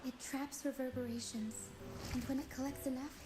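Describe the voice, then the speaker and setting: Woman acting high-voiced playful young female game character. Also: fairly even intonation, brisk, background noise, natural voice. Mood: grave, worried. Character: friendly young female circus performer, playful and caring. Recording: music behind the voice.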